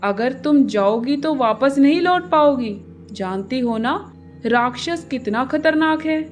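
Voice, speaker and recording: A woman voicing serious, worried forest birds in a cartoon fable, speaking gravely. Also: high voice, expressive, slow pace.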